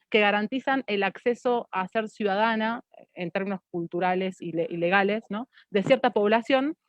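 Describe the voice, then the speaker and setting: A woman's voice: medium pitch, dry recording. Argentine woman, academic delivery, muffled sound. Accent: Argentine Spanish. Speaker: panelist. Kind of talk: academic panel discussion.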